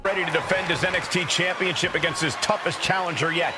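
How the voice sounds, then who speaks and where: An actor playing a rousing wrestling broadcast announcer calling the action in a video game. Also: male voice, high-pitched, fast, lightly acted. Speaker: commentator. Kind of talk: sports commentary. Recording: loud arena noise.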